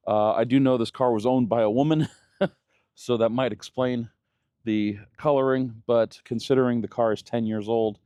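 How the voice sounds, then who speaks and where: A man's voice: fairly low pitch, mellow, clear, resonant tone, no echo, clean studio sound. A man in his thirties, raised in Germany, talking casually in a vlog with many fillers and restarts. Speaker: channel host.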